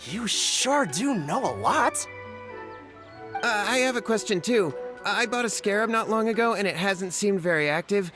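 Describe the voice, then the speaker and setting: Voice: mid-range voice, expressive, normal speed, over music, close to an everyday voice. A game actor voicing a curious, hesitant townsperson asking for help. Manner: inquisitive, hesitant. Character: curious townsperson with a pet beetle.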